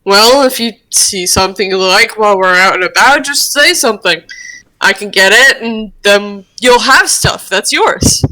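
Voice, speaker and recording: Woman playing a bright, earnest male devil toon. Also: medium pitch, animated, normal speed, low-quality recording. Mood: hesitant, caring. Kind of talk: amateur roleplay read-aloud of a cartoon character.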